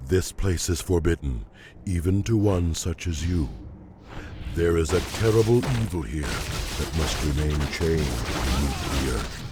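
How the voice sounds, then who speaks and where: An actor playing a stern warden of a forbidden place in a fantasy video game cutscene. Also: male voice, extremely low pitch, animated, unhurried, background noise. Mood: grave warning. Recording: loud mechanical ambience behind.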